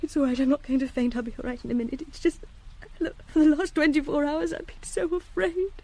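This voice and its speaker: Young student in a radio play, soft mellow voice, earnest n guilty, halting, well spoken. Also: female voice, sits high, steady tone. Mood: shaken relief. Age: young adult. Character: earnest, guilt-ridden young woman student pleading for reprieve. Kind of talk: radio drama acting.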